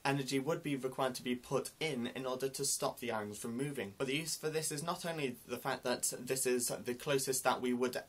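A man's voice: mid-range voice, steady tone, fairly quick, hardly any pauses. A young man explaining science news to camera in an earnest, explanatory way, recorded in a small indoor room on a camera mic at desk distance. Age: young adult. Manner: earnest, explanatory, gestures with both hands. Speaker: science news video host.

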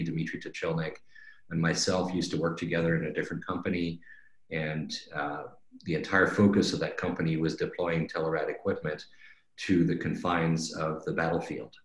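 A middle-aged man presenting conversationally on a webinar, explaining things and often checking in with "right?". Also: a bass voice. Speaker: company executive presenting a webinar. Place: indoors on a video call. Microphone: video call microphone. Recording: roomy, echoing video-call audio.

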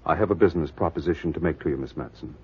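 A suave, wealthy shipping magnate in a 1940s radio play. He speaks very low and a little husky, with urbane, formal assurance. The recording is old and muffled. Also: racing, full character voice. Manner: smooth-talking, formal, urbane. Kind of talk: 1940s radio drama acting.

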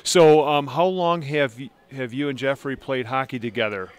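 A man's voice: mid-range voice, normal speed, clean tone. Middle-aged guy doing a postgame interview at an ice rink, handheld mic, easygoing, lots of ums. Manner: relaxed, friendly, with frequent fillers. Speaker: sports broadcaster host. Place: indoor ice rink by the boards. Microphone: handheld microphone.

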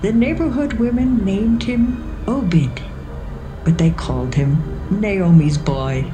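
A woman narrating scripture from a script in a measured storytelling manner. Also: medium pitch. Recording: music behind the voice.

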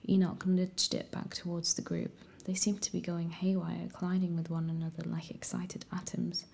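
A woman reading a story aloud in a soft, steady whisper. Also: on the low side, moderate pace, vocal fry, slight background noise. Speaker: audiobook reader.

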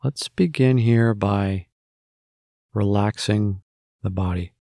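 Slow, low-voiced man guiding meditation. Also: no echo. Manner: gentle, unhurried instruction with long pauses. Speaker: meditation guide. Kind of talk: guided meditation narration.

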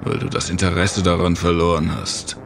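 A man voicing a sinister, mocking giant ghost monster with a gravelly growl in a horror audio drama. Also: deep voice, little pitch movement, a little hiss or hum. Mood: menacing accusing. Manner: slow, menacing, taunting.

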